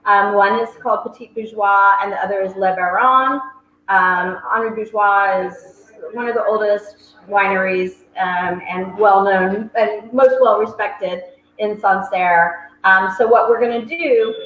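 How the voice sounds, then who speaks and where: A woman's voice: medium pitch, steady tone, a little slow. A woman hosting a virtual wine tasting, chatty and full of ums. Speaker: wine shop host. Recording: roomy, dull sound with faint hiss.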